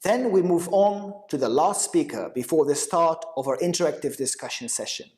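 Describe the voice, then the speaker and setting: Man moderating a webinar, brisk and formal. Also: fairly high pitch, expressive, darkish tone.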